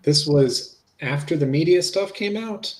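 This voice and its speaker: A man reading the part of a polite, low-key federal agent in a dramatic reading of a witness interview. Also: a little slow, lightly acted. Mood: curious, polite. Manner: low-key, matter-of-fact.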